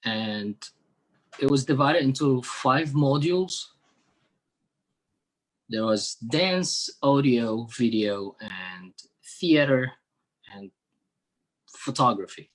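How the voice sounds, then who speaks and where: Guy from syria, chatting as an interview guest, mid-pitched voice, kinda searching for his words. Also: expressive, slow, long pauses, low-quality recording. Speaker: interview guest, musician working with NGOs. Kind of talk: conversational interview answers.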